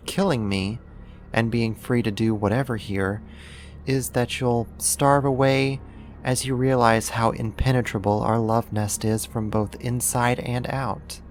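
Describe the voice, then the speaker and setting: A performer voicing a possessive yandere neighbor turned kidnapper in an intimate ASMR roleplay, coaxing and doting with a quiet menace and deliberate pauses. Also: medium pitch, lightly acted. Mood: calm menace.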